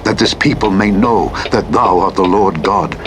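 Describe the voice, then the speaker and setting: Deep-voiced man solemnly reading scripture over engine noise. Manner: measured, solemn, declamatory. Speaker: audiobook narrator. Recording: clear vehicle noise over a radio playback. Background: truck engine and driving noise from a simulator game.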